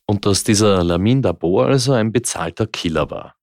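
A man co-hosting a true-crime podcast, calmly recapping a complicated case for listeners. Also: on the low side, moderate pace, no echo, studio quality. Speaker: podcast co-host.

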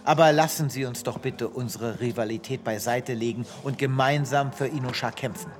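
A man's voice: mid-range voice, steady tone, normal speed, close to an everyday voice. A man playing an earnest, heroic space veterinarian in a comedic sci-fi radio play, declaiming theatrically with grand pathos. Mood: earnest, conciliatory. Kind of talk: comedic sci-fi audio drama acting. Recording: long echoing hall reverb.

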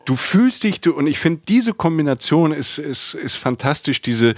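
A man's voice: on the high side, sing-song, normal speed, no room sound, clean studio sound. A man co-hosting a casual travel chat, talking enthusiastically and rambling with many restarts and fillers. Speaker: podcast co-host.

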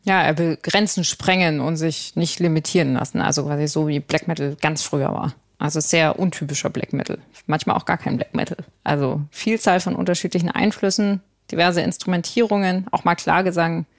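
Slightly low-voiced woman presenting, very dry sound. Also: steady tone, fairly quick, hardly any pauses, no room sound. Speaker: music podcast co-host and presenter. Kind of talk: presenting reviews and event previews.

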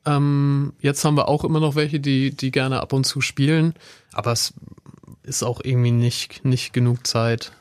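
A man's voice: mid-range voice, little pitch movement, normal speed, clean tone, no echo. A man chatting casually as a guest, in a laid-back and understated way. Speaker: professional handball player, guest. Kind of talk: casual chat.

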